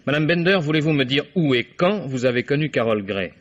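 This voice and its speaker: An actor playing a courteous, sceptical defence lawyer in a vintage French radio drama, asking formal, measured questions. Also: male voice, mid-range voice, lively intonation, natural voice. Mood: insistent. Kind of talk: 1950s French radio play acting. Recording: dull vintage radio recording.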